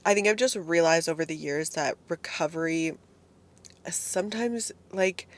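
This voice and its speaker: Hoarse young woman chatting casually. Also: on the low side. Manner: chatty, casual, swears freely. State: hoarse, losing her voice. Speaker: fitness vlogger. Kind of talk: casual solo talk to camera.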